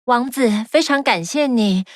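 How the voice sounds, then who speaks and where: A woman voicing a polite, kind young princess in a children's cartoon, soft-spoken and grateful. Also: fairly high pitch, animated, measured pace, dry recording, clean studio sound. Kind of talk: cartoon dubbing.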